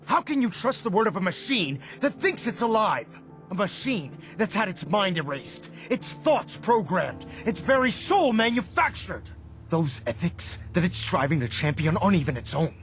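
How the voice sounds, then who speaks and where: Zealot army leader in a game, preachy and scornful, fairly high male voice that swings all over. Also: big pitch swings, moderate pace, a bit bright, full character voice. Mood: scornful, unyielding. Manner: oratorical, contemptuous, emphatic. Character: zealous military commander, stern, unforgiving and grandiose. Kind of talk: dramatic video game acting. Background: cinematic music.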